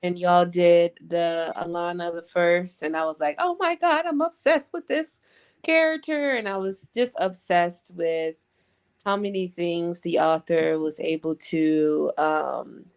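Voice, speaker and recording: Female panel guest, low clear voice, casual, wryly playful. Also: expressive. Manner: deliberate, wry, playful. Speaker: panel guest on a book live show. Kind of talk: casual chat.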